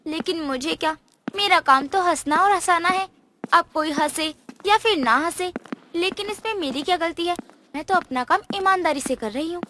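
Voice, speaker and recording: A woman's voice: very high voice, normal speed, pushed and bright, a little hiss or hum. A woman voicing a cheeky, playful fairy girl in a cartoon story. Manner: teasing, chirpy, mischievous. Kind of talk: cartoon voice acting.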